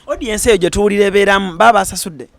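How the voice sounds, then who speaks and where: A man's voice: high voice, very fast, fairly bright tone. A man hosting an interview, firing off teasing questions. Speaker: host. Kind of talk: casual interview, mixing Luganda and English.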